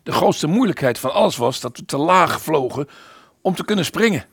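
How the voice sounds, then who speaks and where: An actor plays an easygoing young airman in a radio drama. His male voice sits fairly high and is recorded dry, with no room around it. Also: expressive, moderate pace, breathy edge, no echo. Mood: grave. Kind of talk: radio play dialogue.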